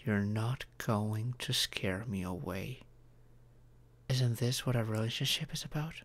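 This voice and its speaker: A performer voicing a caring, earnest young romantic partner in an intimate ASMR roleplay, with deliberate pauses. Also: mid-range voice. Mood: tender, reassuring.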